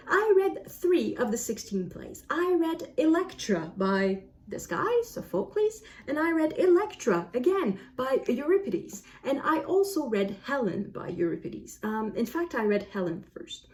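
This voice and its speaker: A woman's voice: on the high side, a little mellow. A woman with a French accent and French as her native language gives a casual book review, explaining reflectively and often correcting herself.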